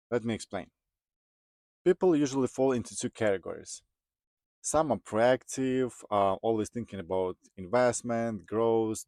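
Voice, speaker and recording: A man's voice: fairly low pitch, no echo, clean studio sound. A man in his thirties from Ukraine with a Ukrainian accent, telling his personal story to viewers as a vlogger. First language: Ukrainian or other non-English. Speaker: vlogger, truck driver.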